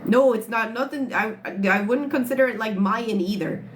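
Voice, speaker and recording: A woman's voice: fairly high pitch, normal speed. A woman in her late twenties with an Irish accent, chatting bluntly with viewers on a livestream and swearing freely. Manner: blunt, swears freely, answers chat questions. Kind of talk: casual livestream chat with viewers. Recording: phone livestream with audible background noise.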